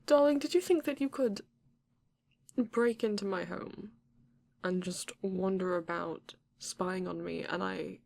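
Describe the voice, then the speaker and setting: A woman playing a flirty, courteous vampire in an ASMR romance roleplay, breathy and often dropping to a whisper, with genteel, teasing phrasing. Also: medium pitch, darkish tone. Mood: amused, chiding. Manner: slow, genteel, teasing, old-fashioned wording. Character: flirty, courteous vampire living alone in a castle.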